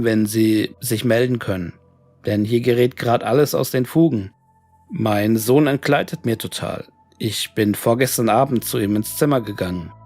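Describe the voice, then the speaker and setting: A man's voice: medium pitch, darkish tone. A man reading a scripted horror story made up of written messages. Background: music.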